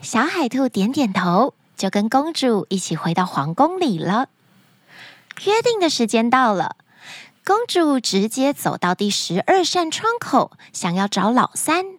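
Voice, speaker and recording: A woman's voice: a little high, sing-song, unhurried, no room sound, clean studio sound. A woman hosting a children's story podcast, narrating a fairy tale expressively and dramatically. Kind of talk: animated scripted storytelling for children.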